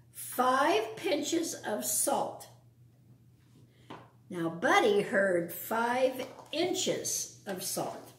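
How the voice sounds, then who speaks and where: A woman reading a picture book aloud to children, expressively, pausing for simple explanations and questions to her young listeners. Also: a little high. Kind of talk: read-aloud storytime with asides to young listeners. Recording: roomy, echoing sound.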